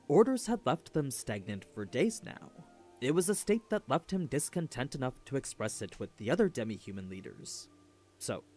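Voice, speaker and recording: A man's voice: lowish voice, darkish tone. A man delivers scripted explanatory narration as the narrator of a lore video. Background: background music.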